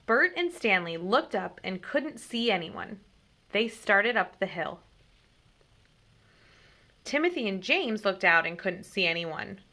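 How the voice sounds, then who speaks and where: A woman reading a children's story aloud in an expressive, deliberate way, like a teacher. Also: medium pitch.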